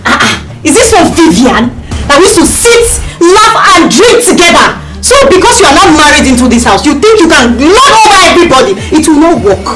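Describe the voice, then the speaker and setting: A woman's voice: very high-pitched, sing-song, a little fast, hardly any pauses, poor audio. An actress with a Nigerian accent playing an indignant, defiant woman who will not back down, in a melodramatic film with music underneath. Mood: indignant, outraged. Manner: indignant, challenging, repetitive.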